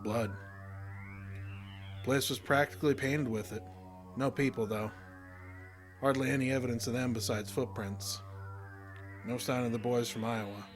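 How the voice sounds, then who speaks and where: A man's voice: mid-range voice, fairly even intonation, moderate pace, pauses often, soft background music, close to an everyday voice. An American man playing a weary, plainspoken fishing guide with a folksy, uneasy manner in a horror audio drama. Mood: somber, resigned. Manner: folksy, ungrammatical storytelling.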